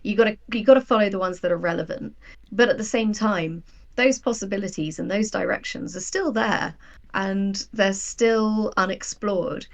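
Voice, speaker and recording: A woman's voice: medium pitch, moderate pace, creaky. A woman in her thirties chatting casually as an interview guest, explaining her creative work with rhetorical questions. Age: 30s. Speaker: guest writer of audio drama and fiction.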